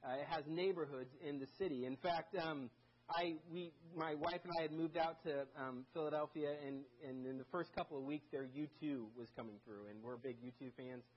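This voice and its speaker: A man's voice: on the high side, fairly quick, highs cut off, slight background noise. A man who grew up in Germany, a guest preacher giving a casual, conversational sermon full of stories and self-deprecating asides. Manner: chatty, self-deprecating, many restarts and fillers.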